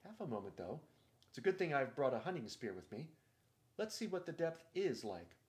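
A man voicing a sharp, authoritative young king in a storybook reading, brisk and practical. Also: medium pitch, very animated, lightly acted. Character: sharp, commanding boy king.

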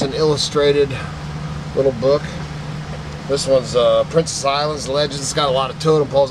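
Sentimental rambling man, noisy shop. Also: medium pitch. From Idaho. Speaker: vlogger, antique picker. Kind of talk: casual chat to camera. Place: cluttered indoor shop.

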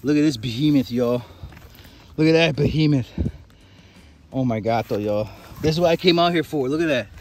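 An American man giving casual, excited fishing vlog commentary, talking informally and directly to his viewers outdoors on a phone. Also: mid-range voice, normal speed, pauses often, noisy background. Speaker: fishing vlogger. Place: outdoors by a lake and park. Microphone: handheld phone.

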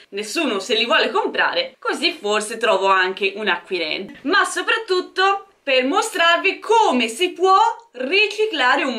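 A young woman presenting a vlog in a casual, chatty, slangy way, with animated delivery. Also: a little high. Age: young adult. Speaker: vlogger and streamer. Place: indoor room, seated on a sofa.

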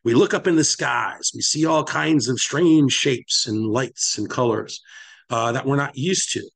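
A man hosts a conversational podcast, thinking aloud as he goes. His delivery is halting and reflective, with a mid-pitched voice and philosophical turns of phrase. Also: creaky, fairly bright tone. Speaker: podcast host. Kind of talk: musing podcast discussion.

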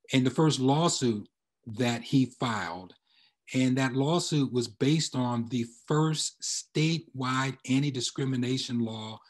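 A man's voice: medium pitch, darkish tone. An older man speaking as a professor in an online presentation and discussion, on a computer microphone indoors. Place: indoor room. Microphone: computer microphone on a video call.

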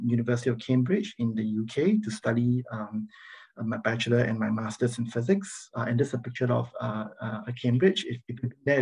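A middle-aged man from Singapore with a Singaporean accent, giving an informal academic presentation on a video call in a halting manner. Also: medium pitch, darkish tone, husky, low-quality recording. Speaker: university professor, guest speaker. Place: online video meeting, speaking over slides. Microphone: video call microphone.

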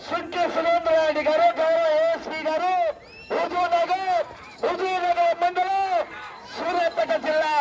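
A man giving loud, exclamatory live race commentary as an announcer, chanting repeated cries and calling out names. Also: extremely high pitch, level tone, moderate pace. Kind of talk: excited live race commentary with shouted cheers and name announcements. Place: outdoors at a rural race ground. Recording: distant outdoor sound, loud crowd and vehicle noise. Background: loud crowd, traffic and outdoor noise.

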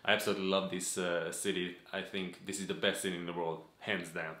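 A man speaks in a low, deep voice. He talks earnestly and at a measured pace, with many small "uh" pauses. The sound is roomy, with some echo from the home office. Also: little pitch movement, pushed and bright. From Hungary. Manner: earnest, measured, with frequent "uh" fillers. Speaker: photography vlogger. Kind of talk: talking-head channel introduction. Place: home office room with desk and gaming chair.

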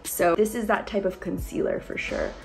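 A young woman filming a casual makeup tutorial at home on her phone, chatty with lots of likes and ums, her voice a little deeper from a cold. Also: steady tone, normal speed, gravelly, a little mellow, soft background music, rough recording. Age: young adult. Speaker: beauty vlogger. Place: bright room at home. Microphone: phone camera at close range.

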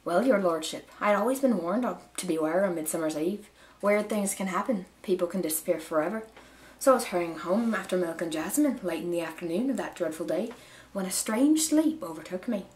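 A woman's voice: lowish voice, expressive, brisk, darkish tone, natural voice. A woman voicing a dreamy, wry storybook heroine recounting her adventure, in an amateur put-on Irish accent. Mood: wistful storytelling. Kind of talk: dramatic storybook read-aloud. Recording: roomy echo, some background noise.

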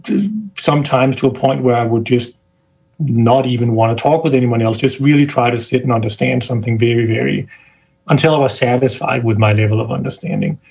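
Guest man from Denmark, husky voice, thoughtful and searching for words, video call. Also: mid-range voice, steady tone, fairly quick, a little mellow, rough recording. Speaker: guest scientist. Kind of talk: casual interview talk.

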